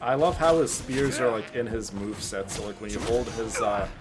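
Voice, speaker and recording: A man giving casual gameplay commentary as a streamer, explaining things informally and haltingly. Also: fairly low pitch, fairly even intonation. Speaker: game streamer.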